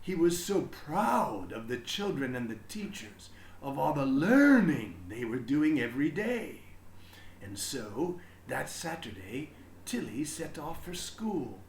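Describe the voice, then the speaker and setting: Male storyteller, slow pace, lively intonation, children's book narration, deliberate phrasing, roomy echo. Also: fairly high pitch, slight background noise. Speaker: storybook reader.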